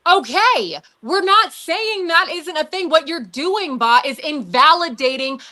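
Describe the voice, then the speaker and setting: A woman's voice: high-pitched, expressive, unhurried, sharp tone. A woman from Denver, arguing passionately as a panelist in a heated debate and pressing rhetorical questions. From Denver, Colorado, USA.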